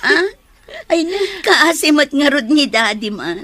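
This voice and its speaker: A woman's voice: high voice, very animated, a little slow, pushed and bright, no room sound, exaggerated voice. A woman with an Ilocano Filipino accent acting as an outspoken, teasing young best friend in a radio drama.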